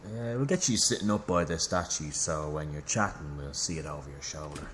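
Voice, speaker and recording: Very deep-voiced cameraman. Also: brisk, background noise. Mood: businesslike. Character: devout, anxious male news cameraman. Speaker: actor in audio drama. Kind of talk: naturalistic audio drama acting.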